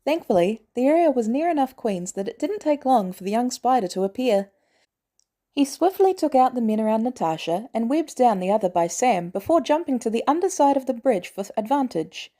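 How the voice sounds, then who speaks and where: A woman's voice: fairly high pitch, sing-song, a little fast, dark tone. A woman reading scripted fan fiction aloud as a narrator. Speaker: podfic narrator.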